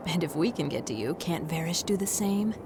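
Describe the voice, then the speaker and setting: A woman's voice: lowish voice, lively intonation, fairly quick, background noise, natural voice. A woman voicing a tough, cynical adventurer in a video game, wry and blunt. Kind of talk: video game cutscene acting.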